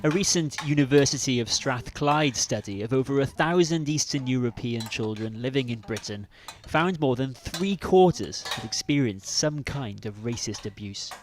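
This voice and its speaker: A woman reporter reading scripted news narration in a measured, clear delivery. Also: a bass voice, animated, a little hiss or hum.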